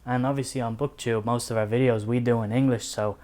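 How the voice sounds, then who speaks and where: A man's voice: mid-range voice, steady tone, brisk, a little mellow. Earnest Irish man reviewing books. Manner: earnest, reflective, personal. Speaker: book reviewer. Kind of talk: casual book review to camera.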